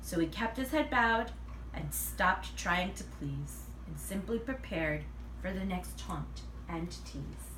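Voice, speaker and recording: Animated woman reading a storybook, roomy recording. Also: lowish voice, slow pace. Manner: animated, acts out dialogue. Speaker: read-aloud reader. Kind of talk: expressive children's picture book read-aloud. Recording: roomy, distant mic, faint noise.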